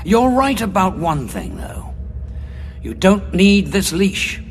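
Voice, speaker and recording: A grim, weary war veteran. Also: male voice, high-pitched, big pitch swings, slight background noise, heavily acted. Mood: cold. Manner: cold, measured and self-assured. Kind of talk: audio drama acting.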